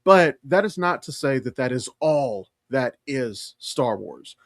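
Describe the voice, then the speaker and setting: A man's voice: mid-range voice, expressive, slow pace, no echo, clean studio sound. A man co-hosting a podcast, talking casually and emphatically like an opinionated, enthusiastic fan explaining things. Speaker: podcast co-host.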